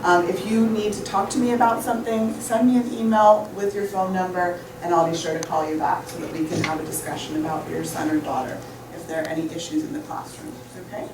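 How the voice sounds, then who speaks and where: A woman's voice: lowish voice, steady tone, a little fast. A woman from Indonesia, a teacher, informally addressing parents with fillers. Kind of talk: addressing parents at a school open evening. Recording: echoey classroom from far off, background noise.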